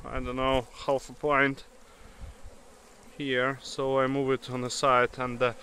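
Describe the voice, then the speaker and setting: A non-native English-speaking man, a beekeeper, demonstrating outdoors in a grassy field and talking haltingly with many fillers. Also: mid-range voice, monotone, bright, forceful tone, a little hiss or hum. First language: not English. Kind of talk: hands-on demonstration. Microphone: handheld camera mic. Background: bees buzzing.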